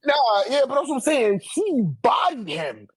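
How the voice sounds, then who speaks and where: A man's voice: extremely high pitch, very animated, unhurried, husky, no room sound. A man in a casual, argumentative debate, talking animatedly with heavy slang and swearing. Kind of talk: casual slangy debate about manga rankings.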